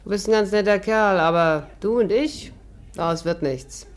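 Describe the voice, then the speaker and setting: An actress playing a cool, mocking young barmaid in a 1950s German radio play, with a teasing, flippant delivery. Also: female voice, medium pitch, normal speed, natural voice. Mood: cool, worldly.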